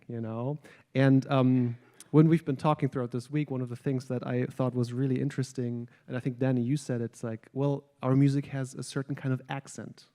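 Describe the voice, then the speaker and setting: A man's voice: mid-range voice, warm tone. A man hosting an onstage interview with guest musicians, speaking in a friendly, hesitant way with many ums and uhs. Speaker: host, jazz studies director.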